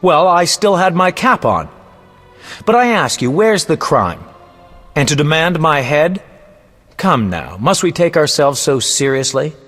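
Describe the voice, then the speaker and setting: Lilting, higher-voiced flamboyant bard. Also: male voice, big pitch swings, measured pace, fairly bright tone, big-room sound, faint music behind, full character voice. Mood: indignant, pleading. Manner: theatrical, florid, self-important. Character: flamboyant, vain, roguish male poet and bard. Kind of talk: video game voice acting, storytelling.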